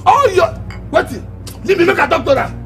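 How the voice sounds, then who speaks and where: High-pitched, ranting Nigerian tenant played by a man. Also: very animated, brisk, vocal fry, loud music behind the voice, exaggerated voice. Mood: angry, mocking. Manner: loud, taunting, repetitive ranting. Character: brash, mouthy male tenant, drinking and defiant. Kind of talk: Pidgin comedy drama acting.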